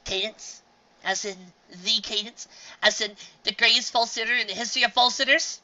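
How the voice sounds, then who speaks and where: A reader voicing an excitable young female unicorn pony who shouts in drawn-out, shrill bursts. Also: dull audio. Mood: giddy.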